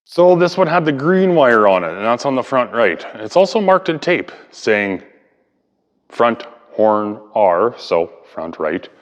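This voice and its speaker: A man's voice: mid-range voice, very animated, unhurried, highs cut off. A man giving a casual hands-on explainer as a hobbyist host, informally thinking aloud in an echoey room. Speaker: hobbyist video host and speaker restorer. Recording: echoey room with long reverb.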